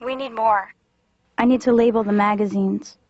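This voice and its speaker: Stubborn cartoon receptionist woman, slightly high. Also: fairly even intonation, normal speed, darkish tone, dry recording, natural voice. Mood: insistent. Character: stubborn, deadpan female cartoon office receptionist. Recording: dull lo-fi cartoon soundtrack.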